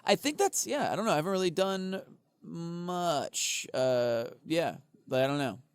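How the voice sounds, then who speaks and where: A man's voice: a little high, animated, measured pace, clear, resonant tone, no room sound, studio quality. A man co-hosting a comedy podcast, telling rambling stories full of swearing and frequent laughter. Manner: rambling, profane, laughs often. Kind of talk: casual comedic chat.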